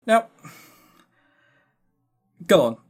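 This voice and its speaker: A man's voice: extremely slow, darkish tone. A man co-hosting a podcast, giving casual, opinionated game reviews in a blunt, sweary, scornful way with stammered emphasis. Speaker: podcast co-host.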